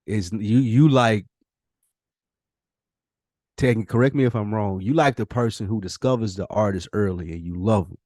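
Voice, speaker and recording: Male co-host, American, fairly low voice, slangy and profane, dry recording. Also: normal speed, pauses often, a little mellow, dry recording. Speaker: podcast co-host. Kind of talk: casual debate chat.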